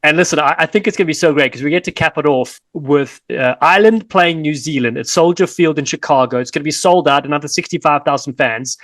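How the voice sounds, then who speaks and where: A South African man co-hosting a rugby podcast, chatty and energetic with playful teasing. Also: a little high, fast talker, hardly any pauses, no echo. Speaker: podcast co-host and rugby commentator.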